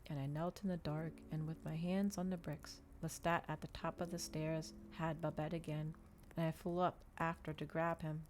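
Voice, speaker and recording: A woman reading a novel aloud in a dramatic ASMR storytelling style, with measured, expressive delivery. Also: low-pitched, slight background noise.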